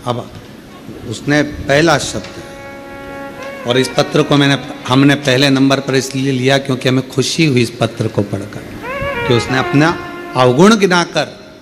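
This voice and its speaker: A man's voice: medium pitch, measured pace, over music. Man preaching with rising emphasis. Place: open-air religious gathering.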